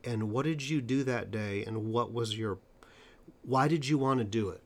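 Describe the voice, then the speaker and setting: A man interviewing a guest in a conversational way, stressing points emphatically and slowing down for them. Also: mid-range voice. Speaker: interviewer and stuttering coach.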